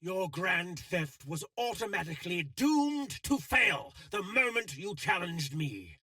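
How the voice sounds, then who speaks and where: Theatrical, swooping game villain. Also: male voice, on the high side, creaky, pushed and bright, no room sound, clean studio sound, exaggerated voice. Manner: pompous, florid, alliterative, theatrical delivery. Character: eloquent, scheming male syndicate villain with a theatrical flair. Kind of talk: video game villain voice lines.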